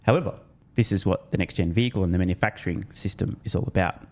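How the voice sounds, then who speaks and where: Male stock commentator, slightly deep voice, scripted but chatty, bullish and punchy. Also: fast talker, a little mellow. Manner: bullish, emphatic. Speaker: video creator, stock commentator. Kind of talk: scripted video narration with casual asides.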